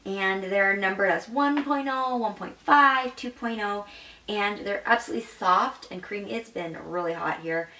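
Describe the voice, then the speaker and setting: A woman's voice: medium pitch, normal speed, bright, forceful tone, far from the mic. Young woman beauty vlogger, bubbly and gushy, roomy home recording, set back from the mic. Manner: bubbly and gushing, demonstrating makeup while talking. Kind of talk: casual product review. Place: home makeup room indoors.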